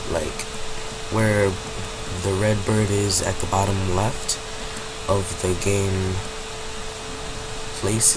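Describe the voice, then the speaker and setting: Stuffy-nosed man rambling over loud hum. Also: on the low side. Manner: rambling, self-correcting. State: has a cold, stuffy nose. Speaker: gaming channel host. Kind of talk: casual gameplay commentary. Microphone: home desk mic. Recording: constant hum and background noise.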